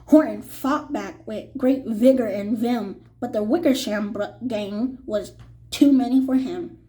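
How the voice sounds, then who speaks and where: A woman reading a children's story aloud in an expressive, dramatic and deliberate way. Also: on the high side. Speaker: children's audiobook reader.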